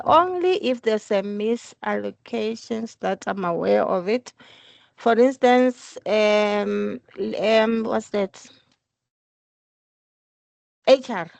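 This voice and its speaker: A woman explaining work procedures in a meeting, halting at times and repeating her phrases. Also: mid-range voice, creaky, darkish tone, dry recording. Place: recorded online work meeting.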